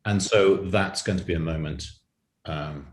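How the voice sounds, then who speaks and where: A man's voice: low voice, measured pace. A man speaking as an interview guest, telling illustrative anecdotes about his creative work. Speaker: writer and director, interview guest. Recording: echoey room.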